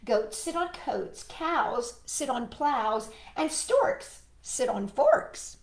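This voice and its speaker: A woman voicing a bossy, know-it-all picture-book cat with emphatic, drawn-out, sing-song rhymes. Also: high-pitched, expressive, full character voice. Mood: bossy, matter-of-fact. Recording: echoey room.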